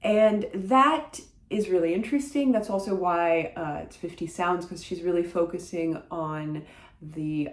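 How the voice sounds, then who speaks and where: A woman whose first language is French talks casually to camera about a book she has read, thoughtful and often pausing on fillers. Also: fairly low pitch. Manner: thoughtful, hesitant, many uh fillers. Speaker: book vlogger. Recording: roomy echo.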